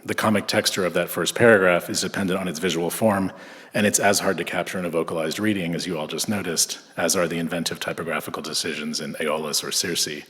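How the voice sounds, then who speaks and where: A man from Southern California reading an academic conference paper in a measured way, with frequent filler hesitations. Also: low voice, steady tone, a bit bright. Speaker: conference speaker. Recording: echoey lecture hall.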